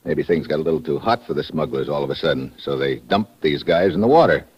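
An actor playing a tough, breezy American correspondent in an old radio adventure, with a wry, clipped manner. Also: male voice, a bass voice, quick pace, husky. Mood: businesslike. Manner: wry, clipped, hard-boiled. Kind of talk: 1950s radio adventure acting. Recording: noisy, muffled old radio recording.

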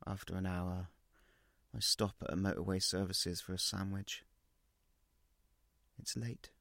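A man acts out a guilt-ridden storyteller coming apart in a dramatic short story. The voice is lowish, bright and tense, very dry and close. The delivery is confessional and obsessive, in clipped, repetitive phrases. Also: little pitch movement, a little slow, creaky, no room sound, exaggerated voice. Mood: numb, defeated. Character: guilt-ridden, unravelling well-off narrator, anguished and obsessive. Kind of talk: dramatic first-person short story reading.

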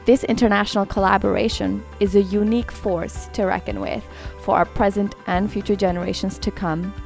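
Lady podcast host doing scripted narration, bit of creak in her voice, music behind. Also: mid-range voice, fairly even intonation, brisk, darkish tone.

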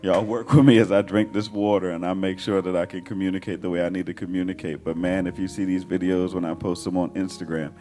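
A pastor preaching a sermon in a hoarse, raspy voice, building energy and talking directly to his congregation. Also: low-pitched, flat delivery, quick pace, few pauses, mellow. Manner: preaching with rising call-and-response energy. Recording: sermon with organ music behind. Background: organ and instrumental music.

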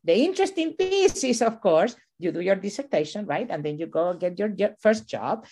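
A woman's voice: medium pitch, sing-song, normal speed, gravelly. A middle-aged woman from Chile, raised in Venezuela, talking as a university administrator and professor in an interview, thoughtful and discursive with many fillers and restarts. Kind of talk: reflective interview conversation.

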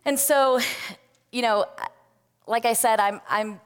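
A woman's voice: a little high, slow, clear, resonant tone. A woman giving a personal testimony as a guest at a church service, earnest and conversational with frequent fillers. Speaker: guest speaker, nonprofit volunteer. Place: church sanctuary. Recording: long hall reverb.

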